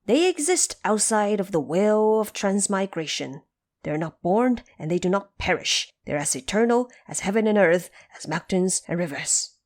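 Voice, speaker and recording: A woman voicing a wise, reverent ape adviser in a storybook drama, declaiming in measured phrases. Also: mid-range voice, big pitch swings, darkish tone, close to an everyday voice.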